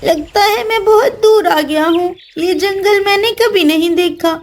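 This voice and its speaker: A woman's voice: very high-pitched, a little slow, pitched above the performer's own voice. A woman voicing an innocent, gullible little boy bird in a children's cartoon, childlike and earnest. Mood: frightened. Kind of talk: Hindi children's cartoon voice acting.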